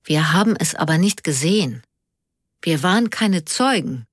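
Woman voicing a sensible, cautious husky older man. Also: lowish voice, expressive, normal speed, no echo, studio quality. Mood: firm, sensible. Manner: plain, reasoning, reluctant. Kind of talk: audiobook character dialogue.

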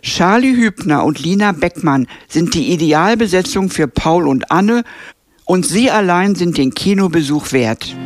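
A woman narrating a scripted radio film review in a measured, even way. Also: on the low side, dry recording.

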